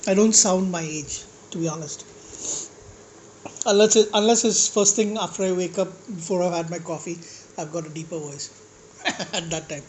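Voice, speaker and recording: A man with a slightly high-pitched voice, ranting casually to his vlog audience in a rambling, blunt way and swearing at critics. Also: fairly even intonation, normal speed, slight background noise, rough recording. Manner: rambling, with restarts and asides. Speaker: food vlogger. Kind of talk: casual rant to camera while eating.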